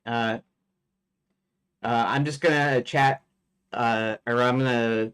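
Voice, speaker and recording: A man's voice: fairly low pitch, a bit bright, clean tone. A man in his forties, a game developer chatting casually on a live stream, rambling with many fillers and restarts. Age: 40s.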